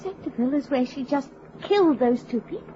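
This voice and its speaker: An actress playing an anxious, pleading wife stranded on a lonely road in an old-time radio drama. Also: female voice, high-pitched, expressive, a little fast, mellow. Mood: worried. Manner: fearful, pleading, jumpy. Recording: noisy, muffled vintage radio drama recording.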